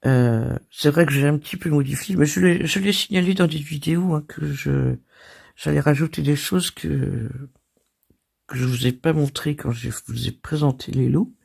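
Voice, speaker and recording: A French woman hosting her crafting channel, hoarse from a cold, chatting casually and laughing at herself. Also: deep voice, moderate pace, darkish tone. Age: older. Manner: chatty and self-deprecating, laughing and coughing. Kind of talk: casual chat.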